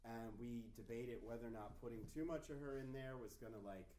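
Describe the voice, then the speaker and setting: A man's mid-range voice comes from some way off the microphone, with clear room echo. He explains his script choices to a group in a casual, conversational way. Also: medium pitch, fairly quick, dark tone, recorded from a distance, a little hiss or hum. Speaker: writer of the script. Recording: echoey room, off from the mic.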